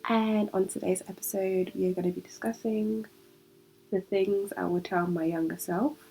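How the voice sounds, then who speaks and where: A woman's voice: mid-range voice, fairly even intonation, moderate pace, mellow, faint music behind, low-quality recording. A young British woman hosting a solo podcast, chatty and reflective, sounding nasal from a cold. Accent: British English. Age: young adult. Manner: chatty, reflective, laughs easily. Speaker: podcast host.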